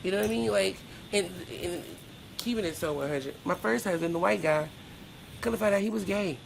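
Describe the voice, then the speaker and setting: A woman's voice: medium pitch, fairly quick, creaky, background noise. A middle-aged woman talking candidly as a podcast guest in a blunt, emphatic, colloquial way. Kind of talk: candid personal interview.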